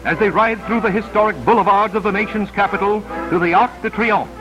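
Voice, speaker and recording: Male 1930s newsreel narrator, high register, dramatic scripted delivery, vintage film sound with background music. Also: fairly even intonation, fairly quick, highs cut off. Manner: dramatic, solemn declamation.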